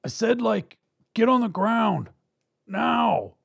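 A man's voice: sits high, sing-song, slow pace, gravelly, husky, voice pushed up, heavily acted. A man voicing a nervous, unsure male bank robber in an audio story, blurting in hesitant slang. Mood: panicked shouting.

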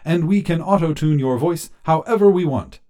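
A man voicing a pleasant but pushy male AI app in a story, excited and salesy. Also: fairly high pitch, normal speed, voice pushed up, close to an everyday voice. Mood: excited, persuasive. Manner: excited, salesy, smooth-talking.

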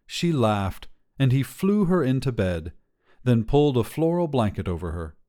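Male story narrator, soft dark timbre, scripted fiction, measured storytelling. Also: mid-range voice, sing-song.

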